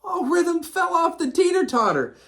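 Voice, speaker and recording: Female storyteller for a kids' read-aloud, very lively, swooping intonation. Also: very high-pitched, moderate pace. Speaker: children's storybook reader. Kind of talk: animated read-aloud narration for children.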